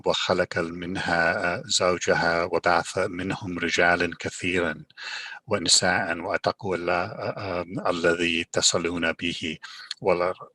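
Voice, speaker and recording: A middle-aged man from Pakistan who grew up in Canada, with Urdu as his first language, giving a Friday sermon in a measured way, often pausing on "uh". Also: low voice, bright, forceful tone, husky, dry recording. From Pakistan, raised in Canada. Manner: measured, with frequent filler uhs and restarts. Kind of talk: sermon with Quran recitation.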